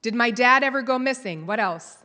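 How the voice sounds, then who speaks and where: A woman's voice: on the high side, animated, fairly quick, sharp tone. A woman giving a live conference talk, telling a story and quizzing the audience. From United States. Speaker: conference speaker. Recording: long hall reverb.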